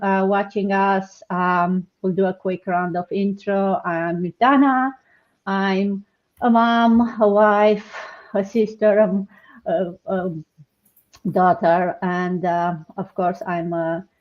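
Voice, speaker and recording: A woman from Romania hosting a live online panel, talking hesitantly with many fillers and restarts. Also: medium pitch. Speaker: host. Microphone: video call. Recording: dull lo-fi online call audio.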